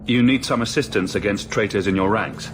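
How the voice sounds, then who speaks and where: A man's voice: lowish voice, fairly quick, noisy background, close to an everyday voice. A man playing a bold, blunt heroic champion in a video game drama, with a direct way of talking. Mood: steady, direct. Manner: direct, questioning. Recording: loud music behind the voice.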